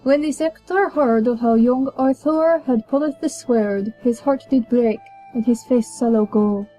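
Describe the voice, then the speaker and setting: Slow, mellow young woman storyteller. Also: fairly high pitch, rough recording. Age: young adult. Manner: measured, declamatory. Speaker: storyteller, college student. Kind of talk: scripted narration of an archaic verse tale. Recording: music under the voice.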